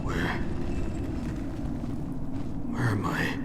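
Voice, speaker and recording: Low, slow, blustering drinker. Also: male voice, slight background noise, full character voice. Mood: confused, wry. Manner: jokey, theatrical, blustering. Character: boisterous, sardonic hard-drinking male adventurer. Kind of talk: scripted fantasy game acting. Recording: loud music behind the voice.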